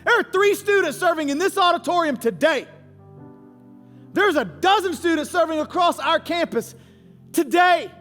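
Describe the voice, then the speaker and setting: High-pitched male preacher in his forties. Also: lively intonation, normal speed, a bit bright. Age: 40s. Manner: emphatic, candid, repeats phrases for effect. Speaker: pastor. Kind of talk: sermon. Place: large church auditorium. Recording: long hall reverb, music underneath. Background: music.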